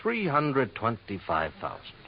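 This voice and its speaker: A man's voice: medium pitch, wildly swooping pitch, a little slow, muffled recording. An American actor playing a worried, businesslike male insurance manager, confiding and explaining in a 1950s radio drama on a noisy old recording. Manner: conversational, hesitant asides, confiding explanations.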